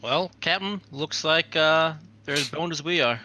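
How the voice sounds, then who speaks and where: Bright-voiced man playing a tabletop game. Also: fairly high pitch, unhurried, muffled recording. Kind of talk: casual in-game chat.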